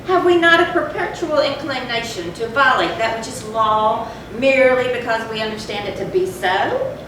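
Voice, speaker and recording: Tormented confessor in gothic readers theatre, played by a woman: formal, deliberate delivery, distant from the mic with heavy background noise. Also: on the high side, close to an everyday voice. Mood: remorseful, brooding. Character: tormented, confessing animal lover turned cruel drunkard. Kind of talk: dramatic reading of gothic horror story. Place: large echoey room, far from the mic. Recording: very echoey, distant, noisy live hall recording.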